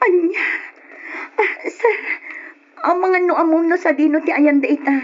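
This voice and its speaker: A woman's voice: very high-pitched, fairly even intonation, normal speed. A woman playing an earnest mother who is heading abroad for work, in an old radio drama. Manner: earnest, hopeful. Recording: muffled old radio recording.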